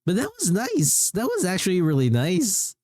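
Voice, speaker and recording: A male game streamer talks casually to his chat. His voice is mellow and relaxed, with lively swings in pitch. The sound is close and dry. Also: normal speed, dry recording. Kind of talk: casual livestream chat, reacting to gameplay and viewers.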